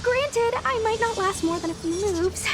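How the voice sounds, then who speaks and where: A woman's voice: very high voice, moderate pace. An actress playing an earnest, stubborn young female knight in a video game, spirited in her delivery. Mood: determined. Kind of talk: animated game voice acting. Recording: game music behind the voice.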